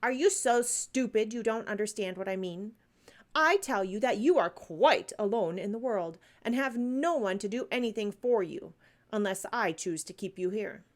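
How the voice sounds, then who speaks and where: A woman's voice: mid-range voice, animated, normal speed, gravelly, a little mellow. A woman voicing a cold, stern headmistress who speaks sharply to a child. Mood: severe. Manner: stern, cutting.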